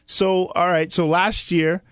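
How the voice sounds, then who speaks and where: A man's voice: high voice, flat delivery, slow, a bit bright, clean tone. A man from Haiti hosting a casual interview, informal and affirming, often echoing what his guest says. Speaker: podcast host.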